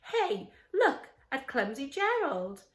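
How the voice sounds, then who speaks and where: A woman's voice: high-pitched, big pitch swings, slow, echoey room, voice pushed up, full character voice. A woman voicing mocking jungle animals in a children's storybook, calling out taunts. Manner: taunting, exclaiming. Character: mocking crowd of jungle animals, lions jeering.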